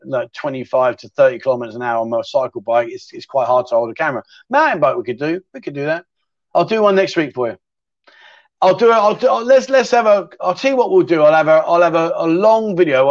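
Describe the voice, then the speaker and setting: A man's voice: a little high, no room sound, highs cut off. A middle-aged man, casually hosting a livestream chat show and answering viewer questions in a rambling, matey way.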